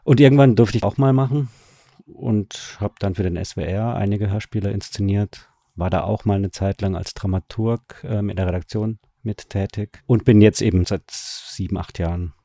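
Deep, mellow, halting middle-aged man. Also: fairly even intonation, no echo, studio quality. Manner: halting, restarting phrases. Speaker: radio drama director, interview guest. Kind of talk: casual interview conversation. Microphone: close mic in a remote online call.

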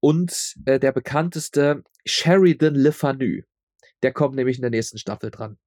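A man's voice: mid-range voice, steady tone, normal speed, darkish tone, no echo, studio quality. A man speaking as a guest in a casual interview, rambling and often repeating his words as he explains his audio drama work.

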